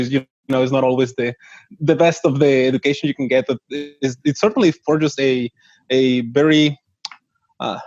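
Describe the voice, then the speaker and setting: A man's voice: medium pitch, darkish tone, no echo. A man in his thirties from northern Mexico, a startup CEO answering interview questions earnestly, with frequent restarts. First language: Spanish. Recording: dull dry lo-fi audio.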